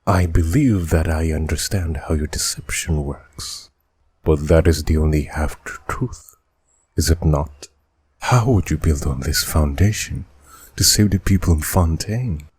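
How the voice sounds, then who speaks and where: Game dub: man playing grave dragon sovereign judge, male. Low, heavily husky, measured, formal, sorrowful. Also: a little mellow, exaggerated voice. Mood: grave, probing. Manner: formal, measured, deliberate questioning. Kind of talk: video game dub voice impression.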